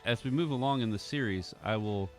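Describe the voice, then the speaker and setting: A man's voice: medium pitch, a little fast. A man giving a casual walkthrough of his audio drama editing, thinking aloud in fragments. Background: film score music.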